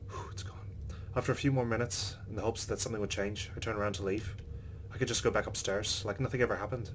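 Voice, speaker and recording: A man's voice: on the low side, a little hiss or hum. A man hosting a let's play, reading visual novel narration aloud with his own reactions, over game ambience.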